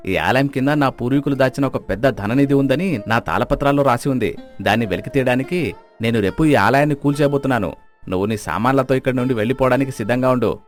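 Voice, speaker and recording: A man's voice: mid-range voice, fast talker, soft background music, close to an everyday voice. An actor playing a greedy, arrogant rich village strongman in a moral story, boastful and menacing. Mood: mocking, boastful.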